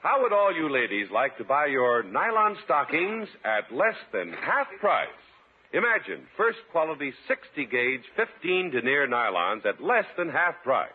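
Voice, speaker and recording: A man's voice: on the high side, big pitch swings, a little slow, a little hiss or hum. A man announcing a 1950s radio commercial with an emphatic, enthusiastic salesman's delivery. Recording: tinny, muffled 1950s radio recording.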